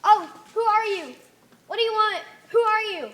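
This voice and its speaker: A woman's voice: extremely high pitch, moderate pace, fairly bright tone. A woman on stage playing a bewildered young woman stranded among strange cultists, alarmed, questioning and indignant. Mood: frightened. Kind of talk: amateur one-act stage play acting.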